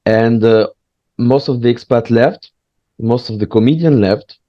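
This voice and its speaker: A man's voice: medium pitch, no room sound, dull audio. Hesitant man. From France. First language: French. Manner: hesitant, frequent pauses and restarts, candid. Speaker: guest, stand-up comedian. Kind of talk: casual interview chat.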